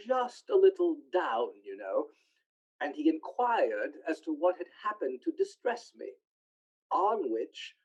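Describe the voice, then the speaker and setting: An actor playing a poor, gentle clerk and grieving father in a radio play, with halting, emotional delivery. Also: on the high side, husky, full character voice. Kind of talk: stage-style radio play acting. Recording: slightly dull lo-fi recording.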